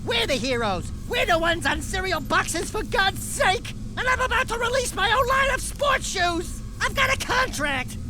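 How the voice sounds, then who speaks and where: An actor voicing a small, cocky, cowardly animal sidekick in a video game, a brash and whiny braggart. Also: male voice, very high-pitched, sing-song, moderate pace, hardly any pauses, rough recording. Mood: indignant. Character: cocky little wisecracking animal sidekick, male, excitable, cowardly. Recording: loud music under the voice.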